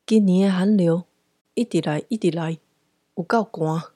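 A woman voicing a blunt, gruff male drinking regular with a Taiwanese accent in a radio drama, cool and colloquial. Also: on the low side, moderate pace, mellow, no echo, studio quality. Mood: grumbling.

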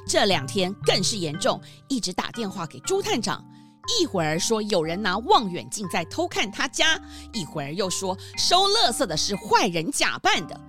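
A woman narrating a scripted children's story in an expressive, storytelling manner. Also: medium pitch, moderate pace, soft background music.

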